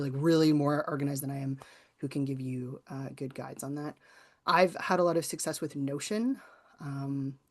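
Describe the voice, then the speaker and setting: Low-voiced woman, thoughtful and conversational. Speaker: guest author and workshop presenter. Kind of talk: informal spoken answers to audience questions.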